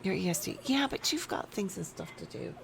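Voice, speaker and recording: A woman streaming a game at her home desk, chatting casually and slowly with her viewers and her pet. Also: fairly low pitch, wildly swooping pitch, creaky, slight background noise. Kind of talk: casual live-stream chat while playing. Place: home desk with a cat nearby.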